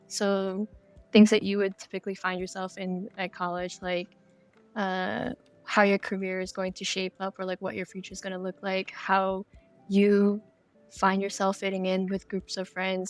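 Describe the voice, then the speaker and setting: A woman's voice: medium pitch, completely flat delivery, moderate pace, smooth, faint music behind. Woman chatting in a podcast interview. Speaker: interview guest, game developer. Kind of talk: podcast interview, casual explanation.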